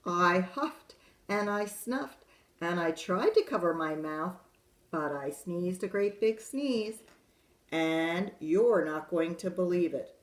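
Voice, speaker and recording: A woman voicing a sly, self-pitying male wolf who tells his side in a chatty, confiding way, in a roomy space. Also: on the low side. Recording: roomy echo.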